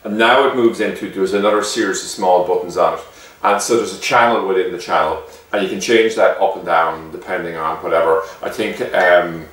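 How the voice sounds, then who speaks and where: A man's voice: sits low, fairly even intonation, fairly quick, fairly bright tone. A man giving a casual, chatty product demonstration, explaining step by step like a shopkeeper and often checking in with the listener. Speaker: shop owner presenting a product. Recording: echoey room, distant microphone.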